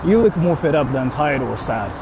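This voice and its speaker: A man's voice: medium pitch, big pitch swings, normal speed. A man livestreaming casually, reading out viewer comments and answering them in a rambling, self-questioning, sweary way. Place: outdoors on an urban street, walking. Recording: clearly noisy outdoor city street stream.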